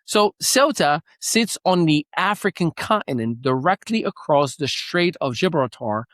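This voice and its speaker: A man's voice: a little high, lively intonation, unhurried, fairly bright tone, dry recording. A man born in Morocco hosting a news show, giving an earnest, opinionated commentary with occasional restarts and fillers. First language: Moroccan Arabic.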